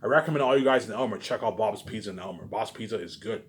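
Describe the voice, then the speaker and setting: A man's voice: lowish voice, a little hiss or hum. A man giving a rambling, informal monologue to his viewers, repeating himself, with emphatic profane bursts that drop into muttered asides. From Canada. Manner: repetitive, halting, with habitual trailing tags. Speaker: vlogger.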